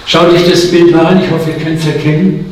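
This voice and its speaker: A man's voice: a little high, flat delivery, brisk, a little hiss or hum. A man in his sixties preaching a sermon in a casual, personal and humorous way. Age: 60s. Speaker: guest preacher. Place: church hall. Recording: echoey hall, mic from far off.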